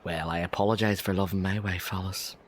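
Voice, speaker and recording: Man playing a deep-voiced, cheeky young London working man. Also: fairly even intonation, a little fast, slight background noise, close to an everyday voice. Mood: fond, bantering. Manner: jovial, bantering. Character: chatty, cheeky working-class Victorian London dockworker, young father. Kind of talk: historical audio drama acting.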